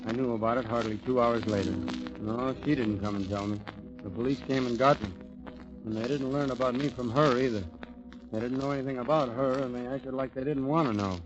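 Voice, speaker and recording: An earnest, naive young man, voiced by an actor, tells his story and stumbles over answers. The recording is a dull 1940s radio broadcast with clear music behind. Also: medium pitch, steady tone, brisk, close to an everyday voice. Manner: earnest, bewildered. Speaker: narrator and lead.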